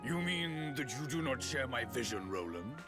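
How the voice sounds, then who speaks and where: An actor playing a theatrical male game villain who speaks slowly and tauntingly of a grand vision. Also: medium pitch, sing-song, natural voice. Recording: game audio under loud music.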